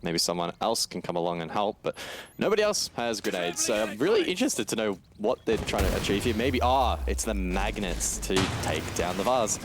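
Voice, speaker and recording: A man's voice: fairly low pitch, sing-song, brisk, over music. A man giving live tactical commentary on an esports match in a casual, analytical, wry way. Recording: gunfire and game noise behind. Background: video game gunfire and clicks.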